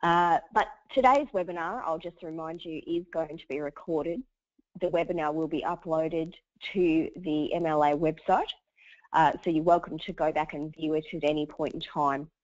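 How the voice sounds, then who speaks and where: A woman, hoarse from a cold, hosting a webinar and running through housekeeping in a chatty way with many fillers. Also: low voice, fairly even intonation, moderate pace, dry recording. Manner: chatty, lots of uhs. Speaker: webinar host. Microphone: computer mic over a webinar line. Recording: dull dry webinar audio.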